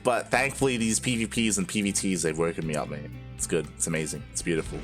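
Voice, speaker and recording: A man with a light Australian accent casting a video game match live, chatty and quick to laugh, running on little sleep. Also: sits low, soft background music. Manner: chatty, laughs often, excitable. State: tired from staying up overnight. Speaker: esports caster.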